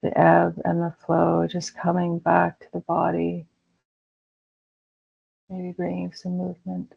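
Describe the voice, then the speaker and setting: A woman leading a guided meditation in a slow, soothing way with gentle pauses. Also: lowish voice, monotone, vocal fry, slightly rough. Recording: dull lo-fi recording.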